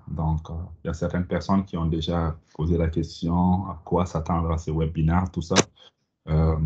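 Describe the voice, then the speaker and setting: A man's voice: low-pitched, mellow, dull audio. A man from Mali presenting a business webinar over a video call, hesitant with many fillers and restarts. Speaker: company CEO presenting a webinar. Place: home or office in Canada. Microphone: video call microphone.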